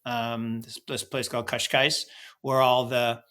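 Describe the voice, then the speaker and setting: A man's voice: medium pitch, level tone, unhurried, a bit bright. An American man co-hosting a podcast, chatting casually and animatedly about markets and travel, often restarting his sentences. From United States. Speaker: podcast co-host.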